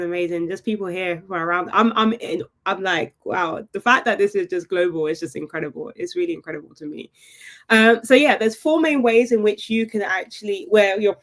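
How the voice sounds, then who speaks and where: A woman hosting a live property webinar, chatty and upbeat, often checking in with her viewers with a quick "right?". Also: mid-range voice. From United Kingdom. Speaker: property investment webinar host.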